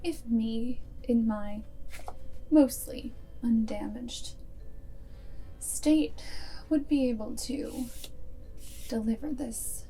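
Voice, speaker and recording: Girl acting as tsundere vampire spy girlfriend, asmr roleplay, mellow kinda high voice, hushed n whispery, up close on the mic. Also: faint music behind, low-quality recording. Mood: brisk, dry. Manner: hushed, deliberate, often dropping into whisper. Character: tsundere vampire girlfriend, wartime Resistance spy, guarded, secretly fond. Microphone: close microphone.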